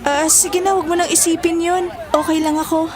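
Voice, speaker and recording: A woman's voice: very high voice, flat delivery, normal speed, crowd noise. A woman with a Filipino accent acting a confident, secretive young student in an audio drama. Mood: evasive, playful.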